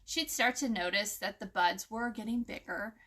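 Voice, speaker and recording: Bright-voiced woman teaching children about nature. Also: mid-range voice, normal speed. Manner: explanatory, addresses young listeners with rhetorical questions. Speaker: children's story-time host and teacher. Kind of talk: informal nature lesson for children, with read-aloud.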